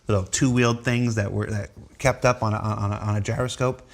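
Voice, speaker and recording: Wry, low-voiced man hosting a panel. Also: fairly even intonation, fast. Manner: rambling, self-deprecating, wry storyteller. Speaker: host of a developer panel show. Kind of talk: casual chat reading viewer questions.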